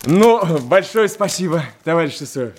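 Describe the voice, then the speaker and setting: An actor in a radio play voicing an embarrassed, ingratiating official, husky and halting, politely confidential. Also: male voice, fairly high pitch, animated. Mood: grateful. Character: embarrassed, ingratiating male official asking a discreet favour. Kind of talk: theatrical radio drama acting.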